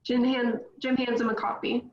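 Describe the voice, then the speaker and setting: A woman reads screenplay directions at a table read, her voice a little high. The room is echoey and the sound is dull and lo-fi. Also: level tone, moderate pace. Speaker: script reader.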